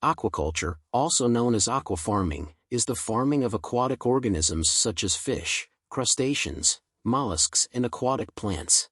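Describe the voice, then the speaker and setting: A man reading informative practice passages aloud at a measured, even delivery. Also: medium pitch, husky, no room sound, studio quality. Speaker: narrator of test practice texts. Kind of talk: scripted read-aloud of exam practice passages.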